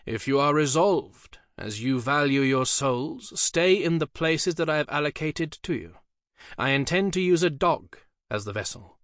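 A male narrator voicing a commanding Elizabethan magician who shouts urgent incantations at a demon. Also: fairly high pitch, animated, moderate pace, no echo, clean studio sound, pitched above the performer's own voice. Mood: solemn.

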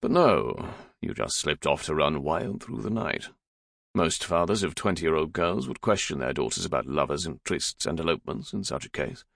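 Stern, fond middle-aged dad as a male narrator plays him, very low, gravelly, dryly scolding. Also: big pitch swings, moderate pace, a bit bright, no room sound, heavily acted. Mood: dry reproach. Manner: dry, weary scolding. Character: stern but fond middle-aged father, former patriot raider.

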